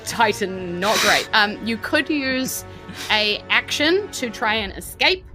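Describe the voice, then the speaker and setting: A woman with a New Zealand accent works as game master in a bright, forceful voice. She chats and describes the action casually and teasingly, with background music playing. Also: mid-range voice, animated, slow. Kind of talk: narrating a tabletop game in casual chat.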